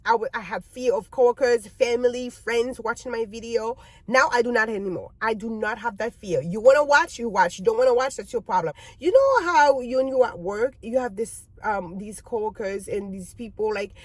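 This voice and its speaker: A woman's voice: fairly high pitch, a little mellow, no echo. A native French-speaking woman with a French accent, talking candidly to viewers in a personal vlog, emphatic and repeating phrases for stress. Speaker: video creator.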